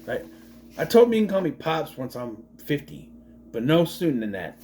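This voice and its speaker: A man's voice: fairly high pitch, sing-song, moderate pace, mellow, a little hiss or hum. A man in his late thirties giving a casual movie review, rambling, swearing freely and wandering off on tangents. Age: 30s.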